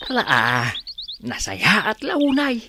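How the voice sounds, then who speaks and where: An actor with an Ilocano accent playing an affectionate, easily amazed older farmer husband in a radio comedy drama. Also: male voice, high voice, wildly swooping pitch, takes it slowly, background noise, heavily acted. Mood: agreeable.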